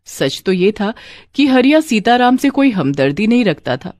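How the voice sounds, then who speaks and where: A woman's voice: fairly high pitch, big pitch swings, normal speed, darkish tone, no room sound, studio quality. A woman narrating a scripted village cartoon story.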